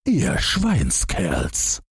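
Man as deep, husky gruff forester. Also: huge pitch swings, drawn-out words, dry recording, studio quality, full character voice. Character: gruff red-bearded forester, feared but secretly lenient.

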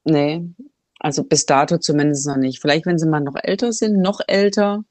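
A woman's voice: low-pitched, fairly even intonation, normal speed, darkish tone, no echo. A German woman hosting a casual talk, thinking aloud with many fillers and restarts. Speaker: podcast host. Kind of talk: casual conversation. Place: Cambodia, living abroad.

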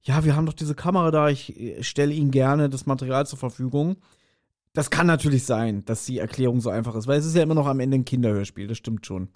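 A man's voice: medium pitch, fast talker, darkish tone. A man co-hosting a podcast in casual chat, speculating and thinking aloud somewhat hesitantly. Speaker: podcast co-host. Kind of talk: casual chat, speculating about an audio drama.

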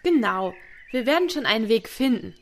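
A woman's voice: a little high, expressive, moderate pace. A woman playing a plucky, cheeky young heroine with a breezy manner in an audio fairy tale.

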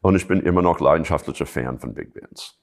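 A middle-aged man from Chicago with an American accent, speaking German in an interview with many pauses and restarts. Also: extremely low pitch. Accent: American English. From Chicago, USA. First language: English. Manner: hesitant, many fillers and restarts. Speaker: jazz musician and teacher, guest. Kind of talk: casual interview talk.

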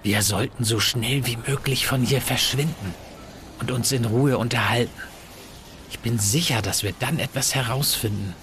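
A man's voice: medium pitch, moderate pace, a bit bright, slight background noise, lightly acted. Man playing a composed, polite professor. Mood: earnest. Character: composed, courteous male English professor, purposeful. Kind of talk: hobby sci-fi audio drama acting.

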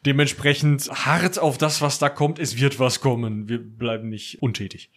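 Man guest chatting on a podcast about his own work, medium pitch, relaxed conversation. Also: normal speed. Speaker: podcast guest, audio drama producer.

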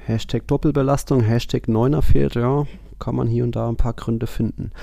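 A man's voice: mid-range voice, fairly even intonation, moderate pace, gravelly, dark tone, dry recording, studio quality. A man co-hosting a casual football podcast, explaining things in long, colloquial, conversational runs. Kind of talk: casual football analysis chat.

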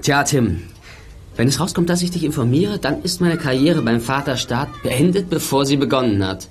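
A man's voice: mid-range voice, animated, moderate pace, heavy background noise, natural voice. A man acting in a German audio drama, speaking his part in a played clip. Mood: confiding.

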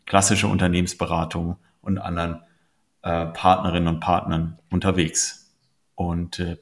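A man with a low, gravelly voice, speaking as an interview guest. His delivery is earnest and full of hesitation sounds. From Germany. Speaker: interview guest, business consultant. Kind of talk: casual interview talk.

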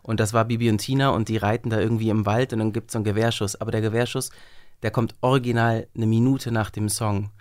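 A man's voice: fairly low pitch, level tone, fast, darkish tone. A young man as an interview guest, telling anecdotes in an informal, self-amused way. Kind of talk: casual anecdotal chat.

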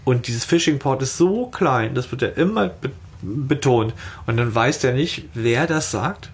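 Casual male podcast co-host, slightly husky. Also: medium pitch, animated, measured pace. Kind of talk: casual discussion of an audio drama.

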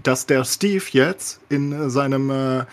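A man's voice: mid-range voice, slow pace. A man in a casual, opinionated discussion as a podcast co-host, talking colloquially with English swear words thrown in.

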